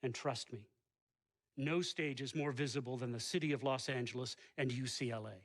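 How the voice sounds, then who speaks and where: A male college recruiter in a video game story, played by an actor. His voice is of average pitch. He speaks grandly and solemnly, persuading as he goes. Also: normal speed, a bit bright, close to an everyday voice. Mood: grand, persuasive. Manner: lofty, solemn sales pitch. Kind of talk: video game cutscene acting.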